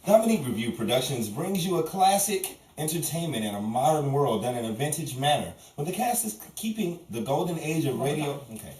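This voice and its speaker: A man's voice: a little high, very animated, a little fast, few pauses, darkish tone. A man bantering and reading lines as an announcer at a casual comedy troupe rehearsal. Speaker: cast member and announcer in a radio comedy troupe. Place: echoey room with other cast members. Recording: echoey, noisy room, distant mic.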